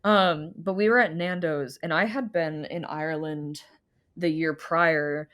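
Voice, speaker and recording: A woman's voice: sits low, steady tone, unhurried, a bit bright. A woman co-hosting a podcast, telling a rambling story in casual chat with frequent giggles and fillers. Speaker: podcast co-host.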